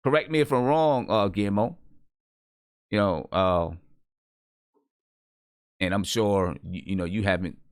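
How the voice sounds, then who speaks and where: A man's voice: lowish voice, a little slow, long pauses, clean tone, no echo, clean studio sound. A man hosting a live music review show, chatting casually and informally in a hesitant, searching way.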